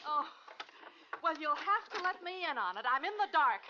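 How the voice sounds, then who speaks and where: A woman's voice: high-pitched, big pitch swings, normal speed. A woman playing an anxious, bickering young mother and wife in a 1950s radio drama, emotional and theatrical. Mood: resentful. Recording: muffled, noisy 1950s radio recording.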